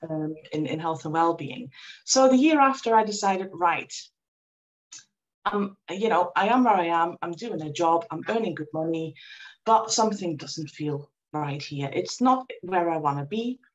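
A woman's voice: on the low side, animated, normal speed, muffled recording. A Dutch woman in her forties, a guest in an interview, telling her story reflectively with many ums and restarts. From Netherlands. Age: 40s.

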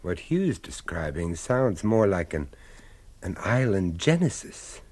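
A man playing a shrewd, suspicious investigator in a radio drama, speaking in a brisk, wry, urbane way. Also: low-pitched, big pitch swings, a little mellow, slightly rough, exaggerated voice. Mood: curious.

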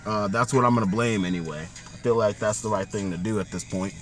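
A man chats in a loose, rambling way and laughs at himself, his voice worn and going hoarse. He records from inside a car, with faint music underneath. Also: on the low side, brisk. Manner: rambling, self-mocking, laughs often. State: losing his voice. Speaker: co-host. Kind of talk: casual podcast chat.

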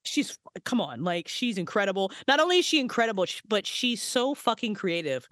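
A woman's voice: mid-range voice, a little fast, dry recording, clean studio sound. A woman in her forties, a podcast co-creator, chatting casually as a guest, swearing freely and laughing often. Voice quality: profane, animated, laughing often. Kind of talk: casual chat.

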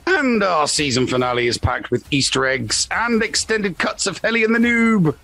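A man's voice: high voice, big pitch swings, moderate pace, bright, forceful tone. A man hosting a podcast in a casual, chatty style. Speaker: podcast host.

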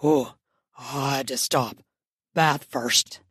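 A woman voicing a dominant, teasing man in an audiobook romance, murmuring in a strained, drawn-out way. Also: a bass voice, fairly even intonation, fairly bright tone, breathy edge, dry recording, clean studio sound, voice pushed down, heavily acted. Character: dominant, teasing male lover, controlled and aroused.

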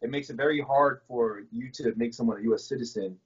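Fast-talking husky man, casual host. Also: mid-range voice. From American Samoa. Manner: fast, laid-back slangy talk. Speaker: podcast host. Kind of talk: casual interview chat. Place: sister's room at home. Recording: slightly dull lo-fi audio.

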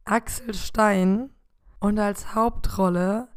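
A woman co-hosting a casual podcast, explaining things in a relaxed, teasing way. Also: medium pitch, slow pace. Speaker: podcast co-host.

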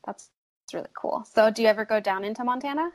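A woman's voice: fairly high pitch, fast, darkish tone, no room sound. Upbeat woman hosting a podcast. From United States, southern Idaho. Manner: upbeat, encouraging questions. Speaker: podcast host. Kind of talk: podcast interview.

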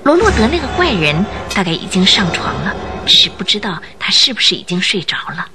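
A woman narrating a radio drama, telling the story in an expressive, suspenseful scripted style. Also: medium pitch, a little fast, music in the background.

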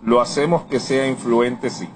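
A man gives measured, formally worded answers to a survey. His voice sits at a middle pitch, with clear noise around him. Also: fairly even intonation. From Puerto Rico. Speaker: survey respondent. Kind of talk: formal spoken interview answers. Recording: noisy on-location interview recording.

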